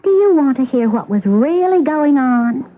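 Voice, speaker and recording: A vain young actress in an old radio play, high husky voice, really animated. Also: female voice, moderate pace. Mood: boastful, scheming. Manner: insistent, self-assured. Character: brash, vain young actress and murder suspect. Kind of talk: radio mystery drama acting. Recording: muffled lo-fi old radio broadcast.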